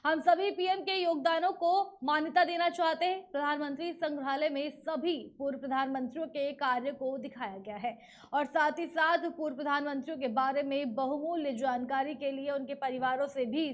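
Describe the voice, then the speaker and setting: A very high-pitched woman's voice with an Indian accent delivers scripted news. She reads as a news presenter, moving through each report in narration style. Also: normal speed.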